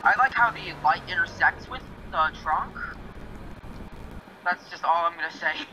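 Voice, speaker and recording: A man's voice: fairly high pitch, measured pace, lo-fi sound. A man chatting casually as a guest in a group gaming video. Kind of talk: casual chat. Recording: noisy voice chat with vehicle sounds.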